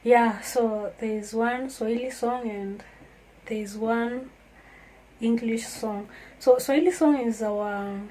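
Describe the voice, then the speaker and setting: A woman's voice: fairly high pitch, steady tone, slow, dark tone, low-quality recording. A woman from Kenya, talking earnestly and reflectively to her viewers in a casual vlog. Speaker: vlogger. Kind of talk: casual talk to camera.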